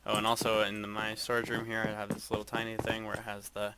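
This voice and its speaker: A man giving a casual, hesitant walkthrough of his game base, speaking in a flat monotone with frequent ums. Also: fairly low pitch, quick pace, crowd noise. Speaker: gaming video creator.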